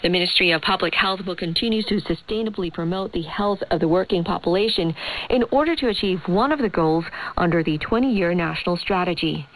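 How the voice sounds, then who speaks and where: A woman's voice: fairly low pitch, brisk. Woman reading news on shortwave radio. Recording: noisy shortwave radio broadcast.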